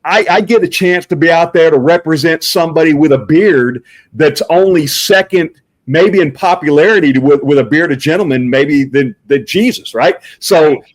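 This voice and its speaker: A middle-aged man with a booming voice speaks as an interview guest. He answers casually, often stopping on "uh" and starting his sentences over. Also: moderate pace. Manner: booming voice, filler-heavy with uhs and restarts. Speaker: professional Santa Claus performer, guest.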